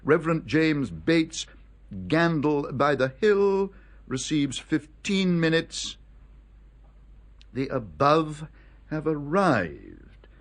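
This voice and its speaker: An actor playing an unflappable, deferential English gentleman's valet in a radio drama, speaking formally and impassively. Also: fairly high pitch, big pitch swings, very slow, long pauses, full character voice.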